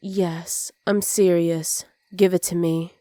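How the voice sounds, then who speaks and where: A woman voicing a dominant, teasing supervillain in an ASMR roleplay, smug and commanding with soft, intimate delivery. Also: lowish voice, little pitch movement, slow, no echo, studio quality. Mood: firm, possessive.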